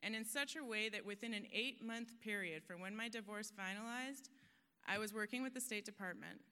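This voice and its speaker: A woman's voice: medium pitch, a little fast, sharp tone, clear, resonant tone. A woman giving an earnest, emphatic motivational talk on a conference stage, now and then laughing at herself. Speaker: conference speaker. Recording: long hall reverb.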